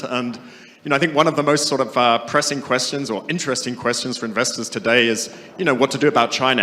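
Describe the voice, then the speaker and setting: A man's voice: medium pitch, pushed and bright. Man moderating in a reverberant hall. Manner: hesitant, many fillers and restarts. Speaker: panel moderator. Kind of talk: conference panel moderation. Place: conference hall. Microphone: handheld microphone.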